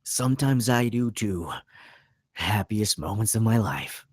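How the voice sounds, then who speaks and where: A man's voice: on the low side, lively intonation, unhurried, husky, no echo, studio quality. A man at a script table read playing a brash, sarcastic young delinquent brother, mouthy and emphatic. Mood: sardonic.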